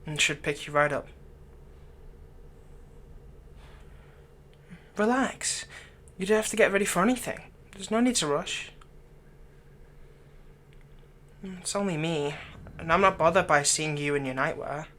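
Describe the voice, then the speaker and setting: A man playing a doting, lightly teasing fantasy villain captor in an ASMR roleplay, speaking softly and tenderly, coaxing the listener without hurry. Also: fairly high pitch, slight background noise, natural voice. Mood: gentle, reassuring. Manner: soft, coaxing, unhurried, tender.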